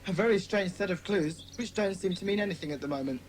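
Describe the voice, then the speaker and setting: A woman's voice: fairly low pitch, quick pace, background noise, lightly acted. A woman acting a bossy, sensible schoolboy club leader in a dramatised children's audiobook. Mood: businesslike. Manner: commanding, sensible.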